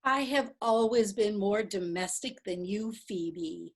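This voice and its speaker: A woman reading Agnes, gentle and slow. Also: mid-range voice. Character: quiet domestic woman, loyal old friend. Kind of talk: readers theatre play reading.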